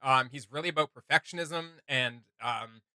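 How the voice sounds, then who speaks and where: A man's voice: mid-range voice, normal speed, bright, forceful tone, no room sound, clean studio sound. A man chatting casually as a reaction host, rambling enthusiastically as he thinks aloud.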